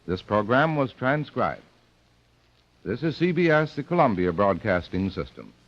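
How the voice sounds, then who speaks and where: Lively American radio announcer man, muffled. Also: fairly low pitch, measured pace, no echo. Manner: polished, emphatic. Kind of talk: mid-century radio announcing and sponsor copy. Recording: muffled old radio broadcast.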